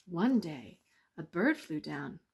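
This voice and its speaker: A woman narrating a children's bedtime story in a measured, expressive read-aloud manner. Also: low voice, gravelly, noisy background.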